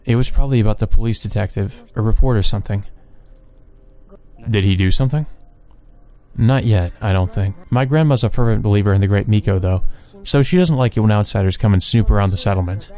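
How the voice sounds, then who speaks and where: A man voicing a calm young woman in a visual novel, plain and matter-of-fact in how she talks. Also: lowish voice, a little fast, close to an everyday voice.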